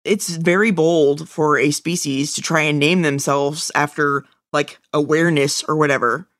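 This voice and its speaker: Higher-voiced man, playful podcast chat. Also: fairly even intonation, moderate pace, a bit bright, dry recording, clean studio sound. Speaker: podcast co-host. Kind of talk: casual chat.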